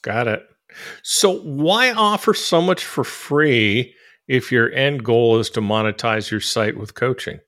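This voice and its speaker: A man's voice: mid-range voice, animated, takes it slowly, a bit bright. A man hosting a casual interview, laughing often and bantering with his guests. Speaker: podcast host.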